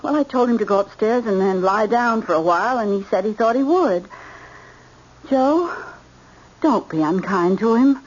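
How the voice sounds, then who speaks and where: A woman's voice: fairly high pitch, expressive, normal speed, a little mellow. An actress playing an anxious, pleading middle-aged American wife and protective mother in an old-time radio drama. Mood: worried, pleading. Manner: earnest, fretful. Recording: dull old radio broadcast.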